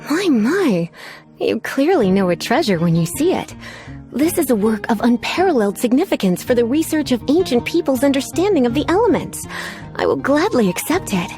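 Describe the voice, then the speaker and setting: A woman's voice: high voice, big pitch swings, moderate pace, mellow, faint music behind. A woman playing a coy, languid adult female librarian in an anime game, teasing and sweetly menacing as she drawls. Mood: impressed.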